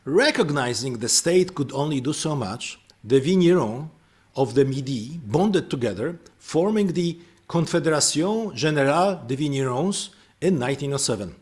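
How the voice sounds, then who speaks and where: A man's voice: a little high, expressive, moderate pace, a little mellow, breathy edge. A man hosting and narrating a scripted explainer, breaking off into hesitant, filler-heavy asides. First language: not French. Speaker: host.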